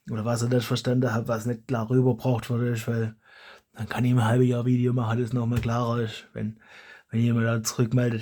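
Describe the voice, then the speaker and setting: A man's voice: mid-range voice, darkish tone. A man with a German regional dialect, hosting his hobby channel in a rambling, self-correcting casual monologue.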